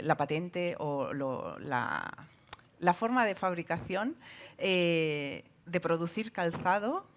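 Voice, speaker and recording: A woman moderates a panel and introduces the guests. Her voice is low for a woman. She hesitates often and fills the gaps with small fillers.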